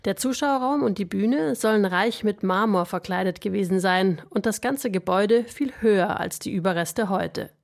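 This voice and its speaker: A woman reads a scripted radio feature as a reporter. Her voice sits at a middle pitch and has a noticeable creak in it. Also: moderate pace, hardly any pauses.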